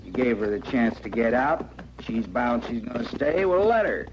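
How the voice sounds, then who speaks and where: A man's voice: medium pitch, very animated, normal speed, natural voice. An actor with an American accent playing a callous, mocking frontier man in an old-time radio western. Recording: muffled, noisy old radio recording.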